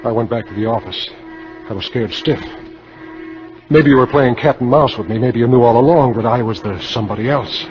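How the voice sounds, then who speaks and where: A man's voice: mid-range voice, fairly quick, soft background music, lightly acted. An American actor playing a cynical, guilt-ridden salesman turned killer in a 1950s radio drama, clipped and hardboiled. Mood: grim confessing. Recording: dull, noisy 1950s radio recording.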